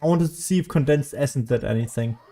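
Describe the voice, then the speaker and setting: A man's voice: medium pitch, fast talker, dark tone. A man giving casual, rambling video game commentary, often losing his train of thought like a streamer talking as he plays.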